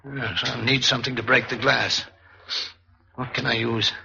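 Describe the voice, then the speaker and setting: Scheming husband, insistent, impatient, creaky mid-pitched voice, 1940s radio mystery, dull lo-fi sound. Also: male voice, fairly even intonation, moderate pace, pushed and bright, slight background noise. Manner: insistent, urgent, bossy. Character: scheming, impatient husband faking his death for insurance money. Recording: muffled vintage 1940s radio recording.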